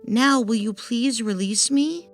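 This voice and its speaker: A woman voicing a small, gentle fairy in a storybook tale, pleading and earnest, loyal to her friend. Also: fairly high pitch, slow, soft background music, voice pushed up. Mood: polite pleading. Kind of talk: storybook character voice.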